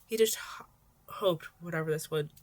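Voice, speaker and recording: A woman's voice: low voice, moderate pace, a little mellow. A woman narrating fan fiction in an amateur audiobook style, now and then stumbling and rereading words.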